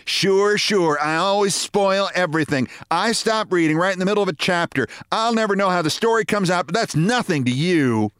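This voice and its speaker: Man voicing a nagging, sarcastic wife, animated and scolding. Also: fairly high pitch, moderate pace, no echo, studio quality. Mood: sarcastic, wounded. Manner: scolding, exasperated. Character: nagging, sarcastic, bossy middle-aged wife.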